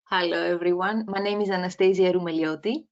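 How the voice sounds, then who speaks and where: A woman with a Greek accent introducing herself on a webinar, speaking slowly with many hesitations. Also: fairly low pitch, steady tone, darkish tone. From Greece. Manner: slow, measured, many hesitations. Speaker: webinar panelist. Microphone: video call. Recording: muffled video call audio.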